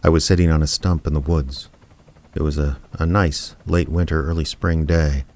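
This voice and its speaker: A man playing a wry, reluctant small-town amateur detective, narrating and talking in a deadpan way in an audio drama. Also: very deep voice, moderate pace. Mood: wry, reflective.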